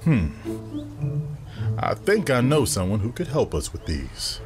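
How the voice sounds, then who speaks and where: Ambitious district attorney, earnest, persuasive, low voice, audio drama, long reverb with faint music. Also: male voice, huge pitch swings, measured pace. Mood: casual, probing. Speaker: voice actor.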